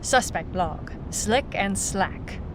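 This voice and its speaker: A woman's voice: mid-range voice, lively intonation, slow pace, very noisy. A woman playing a wry, hard-boiled private eye, clipped and dry, in a noir detective audio drama. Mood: wry, brooding. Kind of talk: noir detective narration and radio-drama acting.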